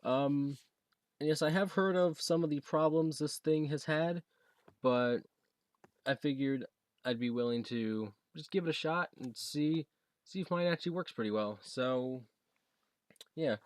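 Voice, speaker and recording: A young American man chatting casually through a home unboxing video, halting and rambling as he goes. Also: on the high side, no echo. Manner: halting, rambling, reads box text aloud. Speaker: video creator showing a gadget. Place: basement room at home.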